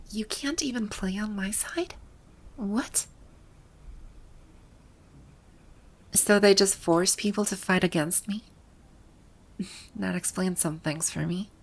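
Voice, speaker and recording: Woman acting out imperious video game villainess, easily flustered, indignant, lowish gravelly fry, slow deliberate pacing, ASMR roleplay. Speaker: voice actor.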